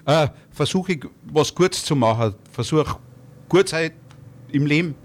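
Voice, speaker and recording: A man in his sixties with an Austro-Bavarian accent, talking informally and a little haltingly as a musician guest in an interview. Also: fairly high pitch, expressive. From Germany or Austria. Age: 60s. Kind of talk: casual interview talk.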